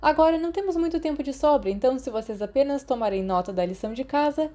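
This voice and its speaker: A man's voice: very high-pitched, expressive, fast, mellow, voice pushed up. A woman voicing a cheerful, encouraging male professor of magical creatures in an audiobook, enthusiastic and instructive, with a Brazilian accent. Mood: delighted.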